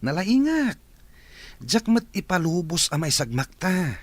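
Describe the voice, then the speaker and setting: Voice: medium pitch, sing-song, measured pace, no room sound. An actor playing a smug, scheming partner in a radio drama.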